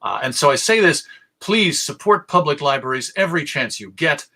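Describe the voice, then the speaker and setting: An American man giving an informal online talk as a presenter, halting, courteous and drily humorous. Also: on the high side, big pitch swings, sharp tone. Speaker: presenter of a radio history talk. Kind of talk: informal lecture to an online audience.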